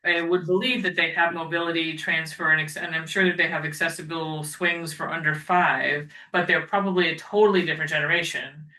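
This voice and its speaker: A woman's voice: low-pitched, steady tone, fairly quick, few pauses, sharp tone. A woman chairing a committee meeting over a call, hesitant and trailing off with many fillers. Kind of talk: meeting discussion. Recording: echoey room, far from the mic.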